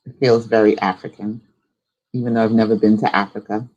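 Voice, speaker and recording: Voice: mid-range voice, level tone, a little fast, a little mellow, dull audio. A live stream host chatting casually and informally, thinking aloud while giving a tour of a virtual world.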